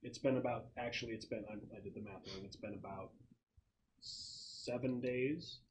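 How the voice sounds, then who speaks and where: A man's voice: mid-range voice, fairly even intonation, normal speed. A man acting as game master, casually explaining the situation and rules to players in a tabletop game. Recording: noisy room recording.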